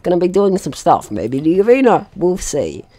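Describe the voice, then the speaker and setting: Low-voiced, animated woman playing games. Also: normal speed, no echo. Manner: rambling, playful, swears casually. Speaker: gaming video host. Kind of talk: casual let's play commentary.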